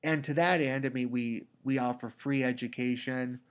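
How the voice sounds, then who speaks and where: A man with a cold, sounding congested, giving a recorded lecture as a teacher, talking deliberately with frequent restarts. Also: mid-range voice, fairly even intonation, clean tone. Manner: slow, deliberate, with repeated restarts. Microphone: computer mic for a screen recording.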